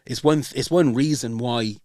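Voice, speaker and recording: A man's voice: medium pitch, moderate pace, a little mellow, no echo, studio quality. An older man hosting a podcast, talking hesitantly and openly about himself, with many ums and restarts. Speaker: podcast host. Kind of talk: casual chat.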